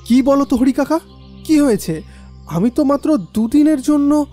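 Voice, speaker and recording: Man as mellow, reverberant horror story narrator. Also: extremely high pitch, expressive, moderate pace. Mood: puzzled, anxious. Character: troubled young man narrating his ghostly tale. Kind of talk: dramatic first-person horror story narration. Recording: long reverb, faint music bed.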